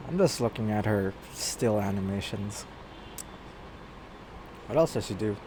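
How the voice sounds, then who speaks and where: A man's voice: on the low side, lively intonation, measured pace, noisy background. A man giving casual gameplay commentary, muttering to himself and repeating his words. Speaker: gamer. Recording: loud game music behind.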